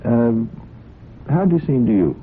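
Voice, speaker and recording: A man's voice: mid-range voice, sing-song, measured pace. An actor playing a folksy police sergeant in a radio drama, hesitant and apologetic as he politely probes with mild questions. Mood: mild, probing. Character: folksy, polite but quietly probing male police sergeant. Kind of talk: old-time radio drama acting. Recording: muffled, hissy old radio drama recording.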